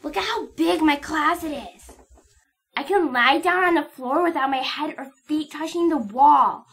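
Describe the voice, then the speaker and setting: A woman acting the part of a playful, excitable little girl, the youngest sister, in a radio play. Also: sits high, normal speed. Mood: eager, childlike.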